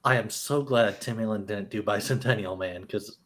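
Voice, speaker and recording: A man with a moderately low voice. He talks casually about movies as one of a podcast panel. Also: normal speed, fairly bright tone. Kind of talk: casual film chat.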